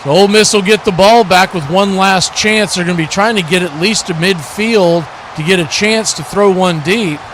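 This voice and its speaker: A man's voice: sits high, moderate pace, few pauses, fairly bright tone, a little hiss or hum. A man doing live play-by-play and casual analysis of a football game in a conversational way, sometimes correcting himself. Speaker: play-by-play commentator hosting a watch party stream.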